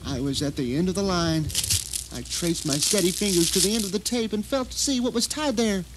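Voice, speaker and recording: A man's voice: high-pitched, fairly quick, very noisy, natural voice. An actor playing a bitter, deluded blind man who tells his story in a theatrical, self-righteous audio drama monologue. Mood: tense, sly storytelling.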